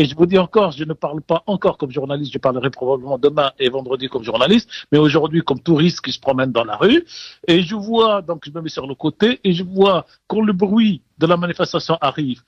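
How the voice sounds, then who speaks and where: A man's voice: fairly high pitch, slightly rough, dry recording, dull audio. A man with a Kabyle Algerian accent, reporting live as a radio correspondent from abroad in a rambling, repetitive way. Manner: digressive, repeats words, coughs.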